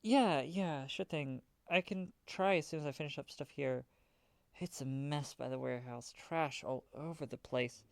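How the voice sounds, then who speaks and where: An actor plays an anxious, helpful friend in an audio drama. The voice has plenty of fry and sounds casual and concerned, in a very dry, close recording. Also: mid-range voice, moderate pace, no echo, close to an everyday voice. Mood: earnest, puzzled. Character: Sam, anxious, helpful acquaintance checking in.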